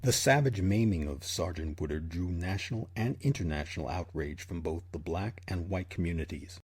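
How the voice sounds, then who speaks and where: A man hosting and narrating a history program in a scripted documentary style, with a husky voice. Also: low voice, moderate pace, darkish tone. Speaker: host and narrator.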